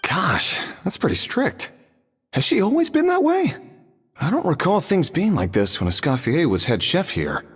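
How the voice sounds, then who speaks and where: Bemused, animated restaurant customer. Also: male voice, high-pitched, moderate pace, slightly rough, heavily acted. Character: mild, easygoing male restaurant customer, bemused and awkward. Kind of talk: video game voice acting. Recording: long reverb with music behind.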